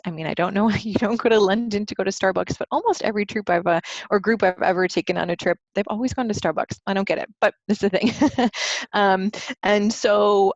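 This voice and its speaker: A woman's voice: mid-range voice, no room sound, highs cut off. A woman talking informally and hesitantly through travel photos as a troop trip leader, in a casual, conversational way.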